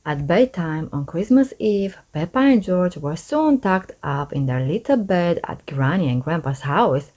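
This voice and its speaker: Slow, expressive woman storyteller. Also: fairly low pitch. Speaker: storybook narrator. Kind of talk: read-aloud children's story.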